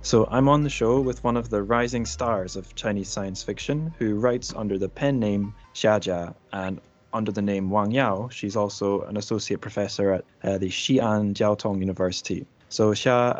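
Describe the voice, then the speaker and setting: A man's voice: lowish voice, moderate pace, soft background music. A man hosting a podcast in an informal, chatty, conversational style. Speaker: podcast host. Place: remote recording over internet call.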